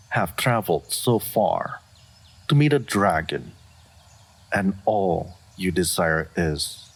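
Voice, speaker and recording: A man's voice: sits low, breathy edge, full character voice. A man playing a regal, aloof dragon in human form, speaking formally and with stoic restraint in a fantasy audio roleplay. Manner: formal, measured, stoic speech with deliberate pauses.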